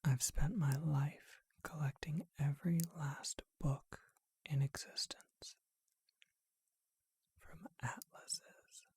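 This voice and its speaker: A performer voicing a charming, courtly male vampire who keeps a bookshop, whispering slowly and deliberately in an ASMR roleplay. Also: mid-range voice, fairly even intonation, darkish tone, slightly rough, no room sound, exaggerated voice. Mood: courtly, inviting.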